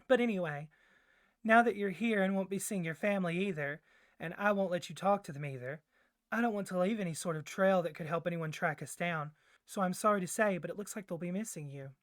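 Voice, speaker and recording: A woman voicing a doting, clingy young yandere boyfriend kidnapper in a soft ASMR roleplay, gushing and coaxing, with flustered hesitations. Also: on the low side, a little fast, no room sound, clean studio sound, close to an everyday voice. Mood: awkward, reassuring.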